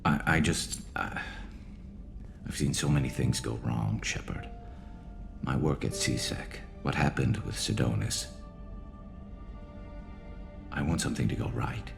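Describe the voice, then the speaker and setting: Very deep gravelly alien soldier. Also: male voice, unhurried, long pauses, over music, full character voice. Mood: nervous, tender. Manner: hesitant, fumbling, dryly wry. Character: awkward, earnest male alien soldier, battle-scarred and loyal. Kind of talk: video game acting.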